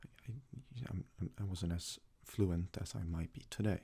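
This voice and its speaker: A young man from Sweden, a native Swedish speaker, talks casually in a soft-spoken, hesitant ASMR style as he answers questions. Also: sits low, unhurried, gravelly, slight background noise. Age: young adult. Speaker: ASMR creator.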